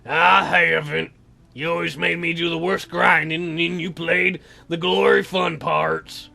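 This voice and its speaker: A game player voicing a sullen, dopey sixteen-year-old boy who grumbles slowly with world-weary self-importance. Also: mid-range voice, flat delivery, vocal fry, pushed and bright, pitched below the performer's own voice, exaggerated voice.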